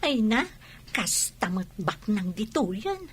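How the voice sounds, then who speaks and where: A woman's voice: medium pitch, sing-song, takes it slowly, husky, heavily acted. A woman with an Ilocano accent playing a carefree young companion in a radio drama. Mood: upbeat, teasing.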